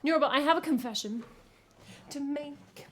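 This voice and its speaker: A woman from England with a croaky voice, chatting casually with her live-stream chat as a singer-songwriter and teasing herself as she goes. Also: high voice, moderate pace, a bit bright, a little hiss or hum. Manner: chatty, self-teasing, hums melody snippets.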